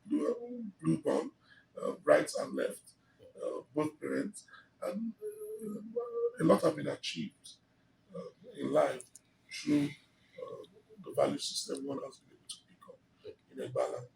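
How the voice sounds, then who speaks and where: Middle-aged Nigerian man, gravelly and lively. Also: on the high side, a little slow, a little hiss or hum. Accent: Nigerian English. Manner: expansive, emphatic, frequent fillers. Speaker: interview guest. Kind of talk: relaxed storytelling interview. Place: living room on a sofa, indoors. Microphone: handheld mic held by interviewer. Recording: roomy, slightly distant mic.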